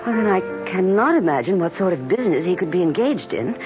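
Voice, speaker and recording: A woman's voice: on the low side, big pitch swings, fast talker. An actress playing an anxious, devoted fiancée in an old-time radio drama, earnest and insistent, lingering on her words. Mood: worried. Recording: muffled old radio, music behind.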